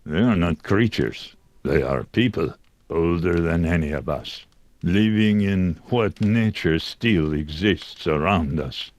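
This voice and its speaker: An actor playing a calm, cryptic male mystic in an adventure game, solemn and measured, speaking in riddles. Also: sits low. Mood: serene, cryptic.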